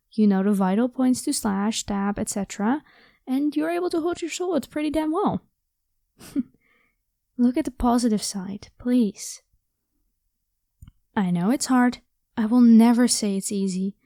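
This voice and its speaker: Woman as a high-voiced, caring vampire woman. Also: warm tone, no room sound, studio quality. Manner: casual, encouraging, reassuring. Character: caring, protective, playful young vampire woman. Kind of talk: ASMR audio roleplay.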